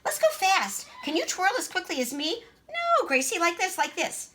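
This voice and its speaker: A woman's voice: very high-pitched, very animated, normal speed, a bit bright, slight background noise, voice pushed up, heavily acted. A woman voicing a bossy, boastful, chatty little girl in a children's storybook, with an emphatic, gushing know-it-all manner. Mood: bossy, excited.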